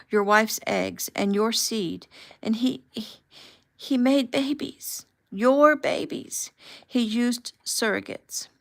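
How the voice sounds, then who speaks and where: A woman voicing a desperate, tearful young woman with a Spanish accent who speaks in breathless, halting bursts. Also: lowish voice, fairly bright tone. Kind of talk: audiobook character voice.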